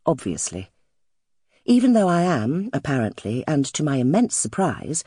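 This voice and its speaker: Expressive, mellow woman narrating an audiobook. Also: deep voice, slightly rough, no echo, studio quality. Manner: wry, expressive storytelling. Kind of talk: first-person literary fiction narration.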